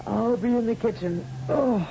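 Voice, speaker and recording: An actress playing a practical scientist wife in a radio drama, questioning and lightly wry. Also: female voice, on the high side, moderate pace, gravelly, background noise. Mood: easygoing. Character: practical female scientist and wife in a future colony. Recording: dull old radio broadcast, music behind.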